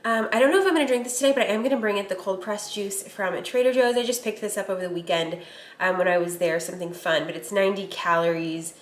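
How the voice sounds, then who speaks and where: A woman's voice: medium pitch, quick pace. Chatty woman, slightly nasal. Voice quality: a little nasal. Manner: chatty, rambling, lots of fillers. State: recovering from a cold. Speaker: lifestyle vlogger. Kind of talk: casual chatty vlog.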